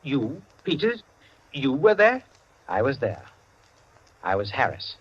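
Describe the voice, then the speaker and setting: A man's voice: medium pitch, wildly swooping pitch, slow, breathy edge, heavily acted. An actor playing a pompous, condescending clubman storyteller in a 1940s radio drama, heard on a dull old broadcast. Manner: lecturing, condescending.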